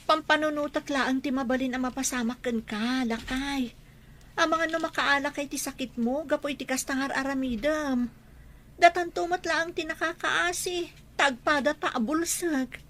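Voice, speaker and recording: A woman's voice: sits high, normal speed. A woman with an Ilocano accent playing an exasperated wife in a radio drama, scolding her hard-drinking husband. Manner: scolding, sharp.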